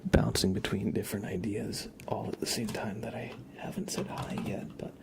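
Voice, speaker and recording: A young man murmurs through a tarot reading in a soft ASMR whisper. His voice is low with a gravelly fry, and he takes his time. He rambles casually between the cards and greetings to viewers. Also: normal speed. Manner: relaxed, rambling chat with viewers.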